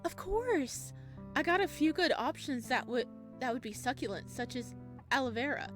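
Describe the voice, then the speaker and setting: Girl reading as shy sweet flower shop boy in a visual novel, polite n helpful, highish voice, music behind. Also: expressive, normal speed. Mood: eager, helpful. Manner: polite, helpful, eager about plants. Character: shy, kind young male flower shop clerk.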